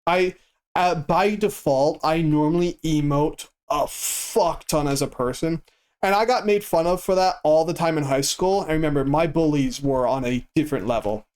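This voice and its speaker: Monotone, stoic man streamer. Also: fairly high pitch, normal speed. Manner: swears freely. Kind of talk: casual chat while gaming.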